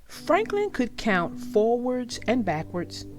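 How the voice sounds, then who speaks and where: Lady storyteller for little kids, takes her time, highish voice, music behind. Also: sing-song, takes it slowly. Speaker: children's story channel narrator. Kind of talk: read-aloud storytelling for young children.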